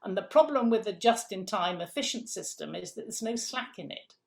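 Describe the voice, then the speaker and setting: A woman's voice: mid-range voice, animated, a little fast, gravelly. A middle-aged woman from England, a guest in an interview, explaining food systems step by step with emphatic repeats.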